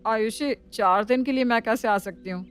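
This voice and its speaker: Brusque, clear-voiced sister-in-law, played by a woman. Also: a little high, moderate pace, faint music behind, close to an everyday voice. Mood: dismissive. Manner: brusque, excuse-making. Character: unhelpful, dismissive married sister-in-law.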